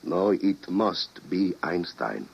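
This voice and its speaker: An actor playing a grave, persuasive president with a solemn, deliberate delivery in an old radio drama. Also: male voice, deep voice, big pitch swings, vocal fry, husky, full character voice. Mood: grimly persuasive. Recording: muffled vintage radio drama recording.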